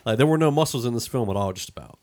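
Male podcast host, medium pitch, conversational and opinionated, qualifying his points in casual review chat. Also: animated, racing, darkish tone. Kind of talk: casual film review discussion.

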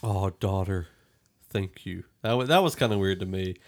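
A man's voice: low-pitched, normal speed. A man hosting a casual movie review podcast, talking in an opinionated, conversational way. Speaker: podcast host.

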